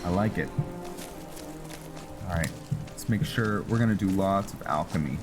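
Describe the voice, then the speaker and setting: A man casually talking through his gameplay, musing aloud with frequent pauses and ums. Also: low voice. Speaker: gamer playing a roleplay let's play. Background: game music and rain sounds.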